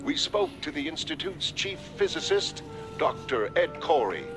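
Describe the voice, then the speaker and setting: An earnest television newsman with a fairly high male voice. His bulletin delivery is formal and authoritative, each item measured and scripted. Music plays clearly behind him. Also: very animated. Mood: formal, serious. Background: loud music.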